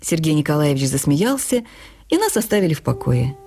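A woman's voice: deep voice, brisk. A woman narrating a literary memoir expressively, as a dramatized audio reading. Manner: expressive storytelling with acted dialogue.

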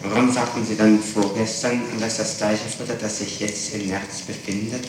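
A man's voice: lowish voice, level tone, moderate pace. A middle-aged man questioning someone as a prosecutor in a formal, precise and probing way, on an old tape recording. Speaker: public prosecutor questioning a suspect. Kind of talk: formal interrogation. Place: reverberant room, far from the microphone. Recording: echoey, distant, noisy archival tape recording.

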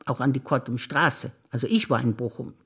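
An older woman, a German stage actress, speaking reflective memories in a measured theatre monologue. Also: a bass voice, fairly bright tone, slightly rough. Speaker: stage actor.

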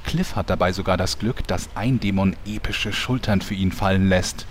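A man's voice: low voice, normal speed, a little hiss or hum, lightly acted. A man acting as the solemn storyteller of heroic adventures, reading scripted narration for an audio drama parody.

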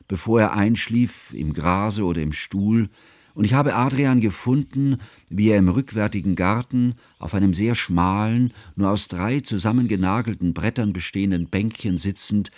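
A man playing a devoted, learned friend who recalls events as narrator of a radio play, in measured, formal, ornate phrasing. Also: lowish voice, darkish tone, no room sound, natural voice. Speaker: first-person narrator.

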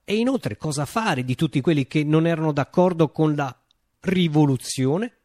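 Actor as theatrical, swooping revolutionary narrator. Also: male voice, fairly high pitch, lively intonation, warm tone, no echo, clean studio sound, lightly acted. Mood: wry, emphatic. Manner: deliberate phrasing with dramatic pauses, emphatic lists. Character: reflective male revolutionary recalling a utopian Italian uprising. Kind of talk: theatrical radio monologue, dramatic storytelling.

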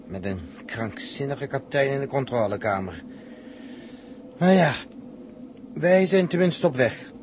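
A man acting an earnest, solemn space crewman who reasons deliberately, in a 1960s radio drama. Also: medium pitch, expressive, close to an everyday voice. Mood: grave, urgent. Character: earnest, reasoning male space crewman explaining grave odds. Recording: noisy 1960s radio recording.

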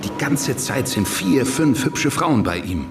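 An actor playing an indignant, gossiping informant in a radio drama. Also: male voice, medium pitch, animated, takes it slowly. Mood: incredulous. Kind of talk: German radio drama acting. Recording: music bed behind the voice.

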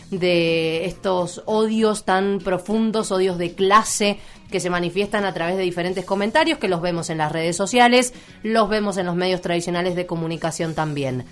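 A woman with an Argentine accent hosting a radio show, chatting and then reporting at length on an event. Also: lowish voice. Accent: Argentine Spanish. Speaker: radio host. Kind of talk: casual radio chat and report.

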